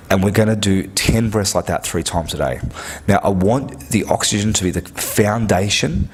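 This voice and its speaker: A man's voice: low-pitched, husky. A man who works at a health clinic gives an earnest, direct breathing lesson, coaching step by step and often repeating himself. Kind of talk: instructional talk to camera.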